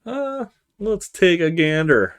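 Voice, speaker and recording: A man's voice: high voice, sing-song, slow, clean tone, pitched above the performer's own voice, heavily acted. A man voicing a polite young male student in a visual novel, thinking to himself in casual short fragments. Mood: musing. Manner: casual inner monologue with short musing fragments.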